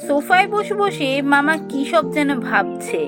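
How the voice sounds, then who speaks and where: A woman voicing a curious, eager young relative who narrates and acts in an animated ghost story, expressive and inquisitive. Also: high voice, moderate pace, loud music behind the voice. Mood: narrating.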